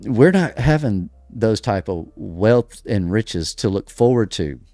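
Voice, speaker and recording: An American man preaches in a lively, swinging voice, firing off rhetorical questions with drawn-out pauses. His voice is close and dry, with faint music underneath. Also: on the low side, dry recording. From United States. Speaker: podcast host. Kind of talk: preaching-style religious commentary.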